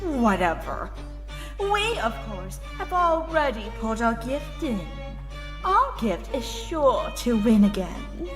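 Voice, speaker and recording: A haughty, moneyed cartoon unicorn. Her condescending voice swoops widely and carries long reverb, with music clearly behind it. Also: fairly high pitch, very animated, slow pace. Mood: smug, haughty. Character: snobbish rich unicorn mare, haughty and mocking.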